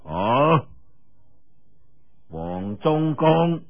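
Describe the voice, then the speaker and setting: A man voicing a haughty, sarcastic male cult elder who interrogates in drawn-out, sneering Cantonese. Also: medium pitch, sing-song, highs cut off, faint music behind, exaggerated voice. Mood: sarcastic, suspicious.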